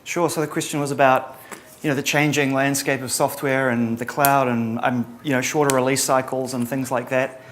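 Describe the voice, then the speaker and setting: A middle-aged man from New Zealand with a Kiwi accent, giving a relaxed conference talk with lots of ums and a dry sense of humor. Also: medium pitch, moderate pace, few pauses. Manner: casual, many ums and uhs, dry humor. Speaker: conference presenter.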